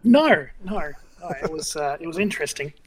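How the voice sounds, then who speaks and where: A man's voice: on the high side, lively intonation, normal speed, crowd noise. A man in his fifties from Ireland, a journalist guest telling rambling stories with many fillers and restarts. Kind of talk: casual interview chat.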